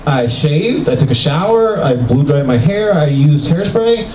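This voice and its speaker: An older man doing a self-mocking, animated stand-up comedy set in a small venue. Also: a little high, unhurried, a little hiss or hum. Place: small venue with live audience. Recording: echoey, distant club recording with crowd.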